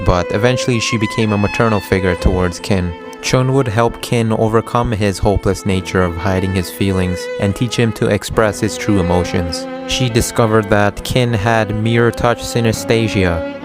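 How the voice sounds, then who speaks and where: A man reading a scripted story recap as narrator, with guitar music behind him. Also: lowish voice.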